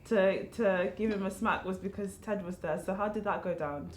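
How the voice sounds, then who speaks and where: A woman's voice: mid-range voice, moderate pace, echoey room, a little hiss or hum. A British woman hosting an interview, asking questions in a laughing, encouraging way. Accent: British English. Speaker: host. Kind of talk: interviewing guests.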